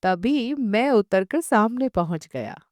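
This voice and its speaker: A woman's voice: on the high side, lively intonation, slow, a little mellow, no room sound, clean studio sound. A woman reading a literary short story as an audiobook narrator.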